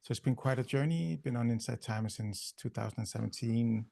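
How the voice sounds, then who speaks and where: A man in his fifties from Chile, raised in Denmark, introducing himself in a relaxed, plain-spoken way. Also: mid-range voice, fairly quick, creaky. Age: 50s. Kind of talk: casual self-introduction.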